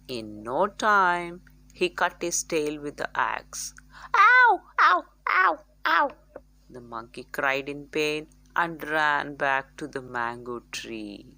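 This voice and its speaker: A woman storyteller narrating for children in an expressive, dramatic way, addressing young listeners directly. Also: low-pitched, gravelly.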